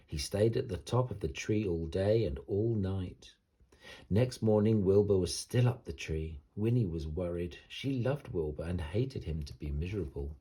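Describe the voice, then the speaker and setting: Male storybook reader, low husky voice, lively swings in intonation, theatrical bedtime delivery for children. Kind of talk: expressive bedtime story narration.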